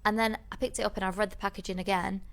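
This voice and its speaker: A woman's voice: medium pitch, flat delivery, racing, fairly bright tone. A woman co-hosting a podcast, telling a rambling story in casual chat, with many restarts. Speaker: podcast co-host.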